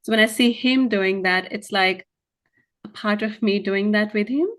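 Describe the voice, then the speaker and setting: A woman's voice: medium pitch, normal speed. A middle-aged woman from India with an accent that blends Indian, Irish and Australian sounds, answering interview questions thoughtfully with some hesitation. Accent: mixed Indian, Irish and Australian. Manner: thoughtful, hesitant, with soft fillers. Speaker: interview guest.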